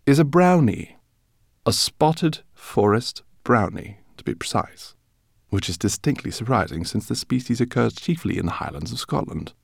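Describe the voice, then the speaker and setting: A man reading an audiobook voices a gentle, quietly amused male professor who knows about magical creatures. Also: mid-range voice, animated, normal speed, creaky, dry recording, clean studio sound, pitched above the performer's own voice. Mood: knowing. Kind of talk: audiobook character voice.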